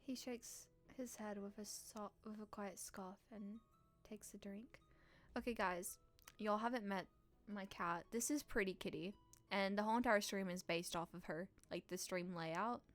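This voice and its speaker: A woman streamer, playful and expressive, reading a visual novel aloud and chatting on a live stream. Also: medium pitch, a little slow, soft background music. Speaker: game streamer. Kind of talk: live stream chat and read-aloud narration.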